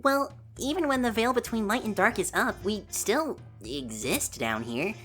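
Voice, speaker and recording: A woman voicing a gentle, earnest young prince who explains things patiently and is eager to help, in an audio drama. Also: fairly high pitch, very animated, unhurried, soft background music. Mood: reassuring, explaining. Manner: soft-hearted, patiently explaining.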